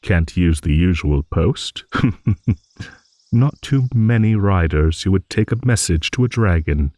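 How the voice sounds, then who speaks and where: A man's voice: sits low, animated, unhurried, a little mellow, dry recording, clean studio sound. A man playing a genial, nostalgic old dragon in an improvised fantasy monologue, musing and rambling with hums and hesitant asides.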